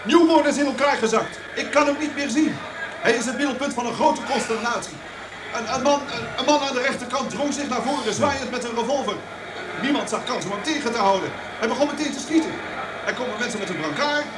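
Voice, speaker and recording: An actor in a Dutch radio play playing an agitated live reporter, describing a scene in urgent, halting phrases. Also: male voice, very high-pitched. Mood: shocked, urgent. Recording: distant, echoey, noisy location-report sound.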